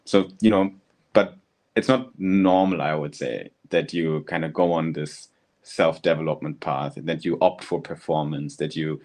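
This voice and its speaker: A man's voice: a bass voice, fairly even intonation, moderate pace, a little mellow. A man hosting a conversational interview, listening attentively and often murmuring short acknowledgements as he asks his questions. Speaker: host interviewer.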